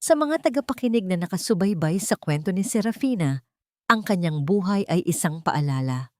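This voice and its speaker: A woman narrating a scripted love story in a dramatic, measured and solemn storytelling style. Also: fairly low pitch, animated, warm tone, no room sound, studio quality.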